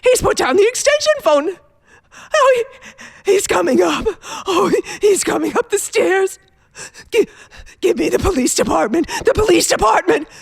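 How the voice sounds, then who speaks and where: Hysterical frightened woman, very high voice, swooping pitch, breathless, pleading, radio thriller. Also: big pitch swings, moderate pace, vocal fry, no room sound, exaggerated voice. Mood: panicked. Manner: breathless, frantic, stammering, whispering to shouting. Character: terrified, pleading invalid wife alone at night, hysterical.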